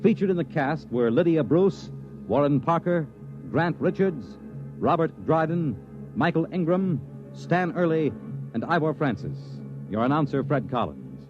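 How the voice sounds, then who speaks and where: A man's voice: a little high, animated, a little slow. A man reads as a 1950s radio announcer, formal and polished. His intonation swings boldly, and the recording is dull and dry with music behind him.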